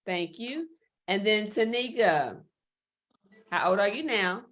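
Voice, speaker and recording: A woman's voice: medium pitch, animated, slow pace, a bit bright. A woman hosting a livestream interview in an upbeat, encouraging way. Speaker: host.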